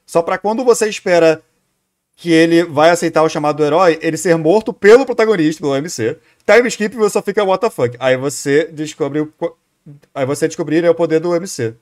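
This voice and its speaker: Brazilian man, streamer, casual live chat, higher-pitched voice, rambling, repetitive. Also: a little fast. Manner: rambling, repeats phrases, thinks aloud. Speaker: streamer reacting to a video.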